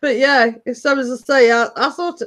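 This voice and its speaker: A woman's voice: high-pitched, steady tone, moderate pace, a bit bright. Chatty woman, rambling storyteller. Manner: rambling, chuckling, dialect "were" and "me day". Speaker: host. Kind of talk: casual chat.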